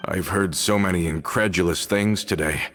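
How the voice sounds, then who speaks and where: A deep-voiced loyal guild man. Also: moderate pace, fairly bright tone, soft background music. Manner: plain-spoken, slightly hesitant. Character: loyal man, guild member, bluntly practical.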